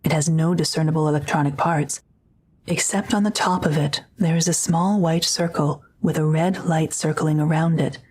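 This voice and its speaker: A woman's voice: low voice, steady tone, moderate pace, a little mellow, breathy edge. A woman reading scripted audio drama narration in a neutral, descriptive manner.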